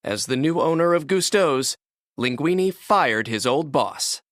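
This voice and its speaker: A man narrating a children's read-along storybook in an expressive, scripted storytelling manner. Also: on the high side, measured pace, dry recording, clean studio sound.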